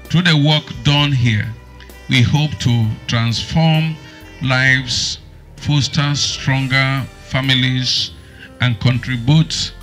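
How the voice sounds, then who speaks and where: Slow, bright-voiced Nigerian man reading a speech. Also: mid-range voice. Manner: measured, halting phrasing. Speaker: clinic founder and evangelist. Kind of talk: formal speech read aloud. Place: outdoors on a building veranda, into a microphone. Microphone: microphone close to the mouth. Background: music.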